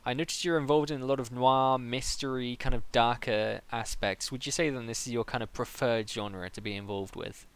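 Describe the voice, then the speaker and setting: A man's voice: medium pitch, steady tone, normal speed, few pauses, clean tone. A man hosting a casual live-stream interview, asking questions conversationally.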